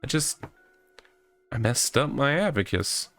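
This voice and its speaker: A man's voice: medium pitch, lively intonation, measured pace, gravelly, a bit bright, soft background music. A man voicing a pompous, delusional self-styled cop in a visual novel, with a theatrical, wordy, rambling delivery. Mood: confessing. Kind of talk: visual novel character reading.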